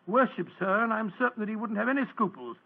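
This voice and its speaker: An actor playing an earnest, fussy English doctor in an old radio drama, speaking formally and hesitantly with an English accent. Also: male voice, high voice, fast, creaky. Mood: thoughtful. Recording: muffled narrowband old radio recording.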